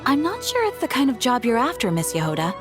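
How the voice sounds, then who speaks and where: A woman's voice: on the high side, huge pitch swings, quick pace, music in the background. An actress playing a courteous, formal female clerk in a video game. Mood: polite. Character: polite, soft-mannered female commission clerk. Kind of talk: video game character acting.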